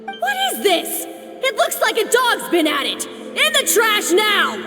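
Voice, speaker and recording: A shrill, ruthless head chef. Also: female voice, sing-song, measured pace, heavily acted. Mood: scornful. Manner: yelling, contemptuous. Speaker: video game character. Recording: reverberant game audio with music.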